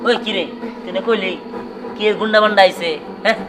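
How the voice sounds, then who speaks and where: Man acting a very fast-talking honest young leader. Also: high-pitched, steady tone, noisy background, close to an everyday voice. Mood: wary. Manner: instructing, scolding. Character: cautious, honest leader of young treasure finders. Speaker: actor in amateur short film. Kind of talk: amateur comedy drama dialogue. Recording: loud music behind. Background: music.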